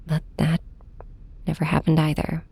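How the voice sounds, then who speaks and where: A woman playing a heartbroken young superhero in an intimate audio drama, speaking softly and haltingly with a breathy voice. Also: low voice, completely flat delivery, no echo, heavily acted. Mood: hurt, subdued. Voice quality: soft, breathy. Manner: hesitant, halting, hushed. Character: heartbroken young flying superhero confiding in and snapping at nemesis. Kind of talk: first-person audio drama narration, ASMR hybrid.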